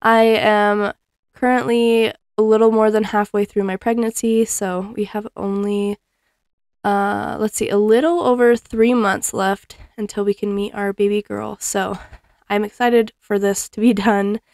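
A young woman talking casually at home while she folds clothes. She rambles in a friendly way, full of ums and small laughs. Her voice sits at a middle pitch, and the recording is dry, with almost no room sound. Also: little pitch movement, a little slow, a little mellow, clear, resonant tone, dry recording. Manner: chatty, laughs often, frequent ums. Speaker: cleaning vlogger. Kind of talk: casual chat while folding laundry. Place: living room at home, indoors. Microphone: fixed camera across the room.

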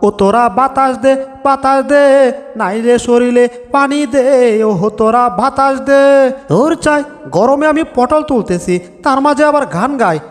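A man's voice: very high voice, moderate pace. A man voicing a whiny, bossy comic cartoon cat in a Bengali dub, grumbling and narrating his own doings with exaggeration. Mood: overheated whining. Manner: exaggerated complaining, self-narrating. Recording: long reverb over background music.

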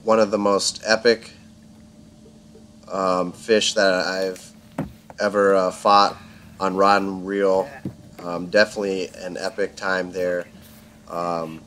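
A man calmly narrating his fishing video in a voice-over, explaining with frequent ums. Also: sits low, takes it slowly, pauses often, a little hiss or hum. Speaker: angler and channel host.

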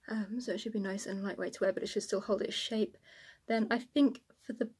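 A woman's voice: medium pitch, fairly even intonation, a little fast, darkish tone, slightly rough. A woman, chatting casually and informally to camera as a sewing vlogger in a small room at home.

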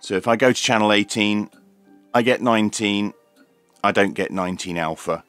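A man's voice: lowish voice, moderate pace, fairly bright tone, soft background music. A man giving an unscripted hands-on product demonstration as a reviewer, explaining step by step in a matter-of-fact, methodical way. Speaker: radio reviewer presenting a demonstration.

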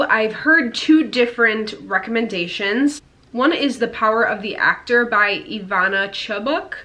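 A young woman in her twenties, an actor talking to camera at home, nasal from a cold and excitable, with lots of fillers and restarts. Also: medium pitch. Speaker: actor and YouTuber. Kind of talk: casual vlog advice to camera. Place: indoor room at home. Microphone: camera in front at head-and-shoulders framing.

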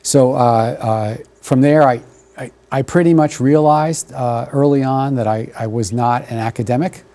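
A man in his sixties whose first language was German, talking in a studio interview, halting and thoughtful with many fillers and restarts, on a lapel mic. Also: medium pitch, fairly even intonation, dark tone. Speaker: author and guest. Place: dark television studio.

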